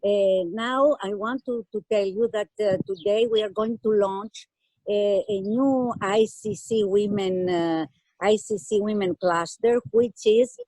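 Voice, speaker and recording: Slow, halting woman on a video call. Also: mid-range voice, steady tone, warm tone, no room sound, low-quality recording. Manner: halting, many fillers and restarts. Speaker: host of a women's business gala. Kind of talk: hesitant speech on a video call.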